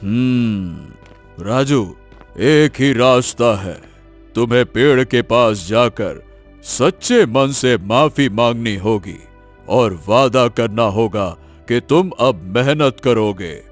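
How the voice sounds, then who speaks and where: A man's voice: medium pitch, expressive, slow pace, soft background music. A man voicing a solemn, stern magical sage in a cartoon moral story, speaking in grave pronouncements. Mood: calm, instructive. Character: solemn magical tree and wise village guru, stern.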